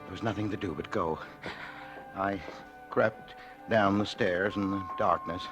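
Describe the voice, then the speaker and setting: A man's voice: low voice, fairly even intonation, unhurried. An actor playing a timid, flustered, henpecked husband in 1940s radio drama, hesitant and stammering as he talks. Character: timid, henpecked, nervous husband telling his misadventure. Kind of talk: 1940s radio drama acting, first-person narration and dialogue. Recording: vintage radio recording, faint music.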